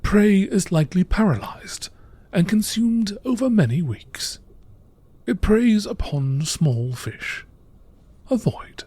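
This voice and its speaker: A man reading scripted text as a narrator in nature documentary style, with a measured, matter-of-fact, formal delivery. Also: high-pitched, expressive, mellow, breathy edge. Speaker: narrator reading out game lore.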